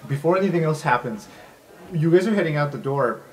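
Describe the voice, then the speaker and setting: Higher-voiced man narrating a tabletop game. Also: lively intonation, a little fast, rough recording. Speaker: game master. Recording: music behind the voice.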